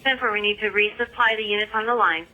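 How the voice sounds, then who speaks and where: A woman's voice: mid-range voice, steady tone, quick pace, pushed and bright. A woman dispatcher broadcasting firm, procedural instructions to units over police radio. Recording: muffled police radio transmission.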